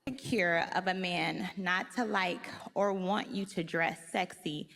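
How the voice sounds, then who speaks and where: Woman chatting casually, sharp bright voice w lots of fry, echoey recording. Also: on the low side, unhurried. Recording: echoey, reverberant clip.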